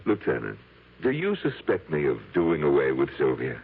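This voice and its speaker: An actor playing an unhinged, guilty husband in a 1970s radio drama, theatrical, halting and edgy. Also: male voice, rumbling low, huge pitch swings, creaky, slightly rough, full character voice. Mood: defensive, sardonic. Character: unhinged husband, smug guilty murderer feigning innocence. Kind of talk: 1970s radio drama acting and confessional narration. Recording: tinny old radio broadcast with music.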